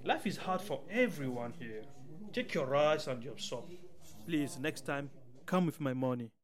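An actor playing a firm but concerned shopkeeper in an audio drama. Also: male voice, a little high, lively intonation, unhurried. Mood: firm, exasperated. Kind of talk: radio drama acting.

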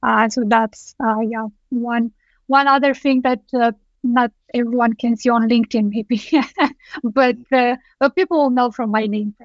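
A woman's voice: a little high, steady tone, unhurried, no room sound. Woman guest on a call. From Romania. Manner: thoughtful, with frequent restarts. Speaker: guest engineering director. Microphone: video call. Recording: dull, dry lo-fi call audio.

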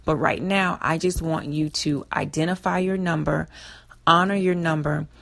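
Low, gravelly, slightly hoarse woman host. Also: normal speed, fairly bright tone. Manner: instructive, repeats key points. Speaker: financial advice show host. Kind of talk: radio advice talk.

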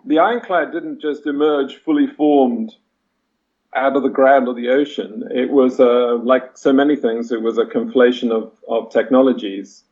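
A man's voice: medium pitch, steady tone, a little mellow. Hesitant man on a dull line. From Chile-born, raised in Britain and South Africa. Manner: hesitant, measured, many fillers and restarts. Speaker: historian guest. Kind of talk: podcast interview.

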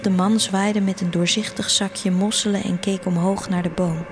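A woman's voice: mid-range voice, steady tone, moderate pace. A woman reading a literary first-person story as the narrator of an audio drama. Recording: music behind the voice. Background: music.

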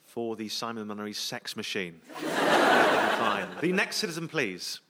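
A man hosting a live comedy radio show, brisk and jokey as he runs audience questions on stage. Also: fairly low pitch, sing-song. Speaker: host. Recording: live audience laughter and noise.

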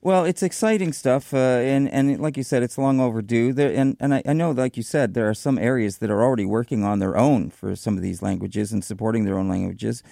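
A man hosting a radio talk show, interviewing a guest in a measured way with some fillers. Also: medium pitch, creaky, dark tone, dry recording, clean studio sound. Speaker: radio host. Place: radio studio.

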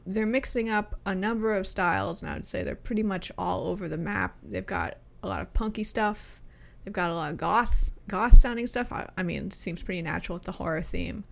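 A woman hosting a casual music review chat, relaxed and loose, swearing offhand between fillers. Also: medium pitch, gravelly. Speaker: music review podcast host.

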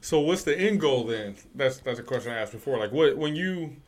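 A man's voice: mid-range voice, lively intonation, fairly quick. An easygoing man in his thirties leads a casual interview and keeps the talk moving with short agreements. His voice sits in a middle register. A faint hiss sits under the recording. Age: 30s.

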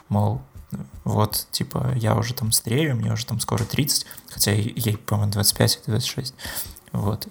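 A man's voice: fairly low pitch, creaky. A man co-hosting a podcast in casual chat, hesitant, with many filler sounds. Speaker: podcast co-host.

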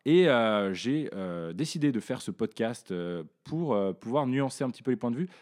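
Young guy from northern france hosting a podcast in his living room, keeps stalling and restarting, mid pitch. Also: expressive, darkish tone. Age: young adult. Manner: halting, many hesitations and restarts. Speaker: podcast host. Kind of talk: casual podcast discussion.

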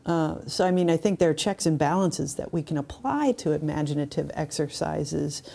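Low, creaky-voiced woman, reflective. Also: normal speed, warm tone. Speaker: podcast co-host. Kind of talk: casual reflective discussion.

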